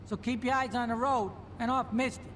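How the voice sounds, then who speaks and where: An actor playing a bossy, streetwise club-owning gangster in a video game, with a curt, commanding way of talking. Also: male voice, very high-pitched, fairly even intonation, brisk, a bit bright, slight background noise. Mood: brisk. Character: bossy, streetwise nightclub owner and pimp, male gangster. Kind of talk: video game cutscene acting.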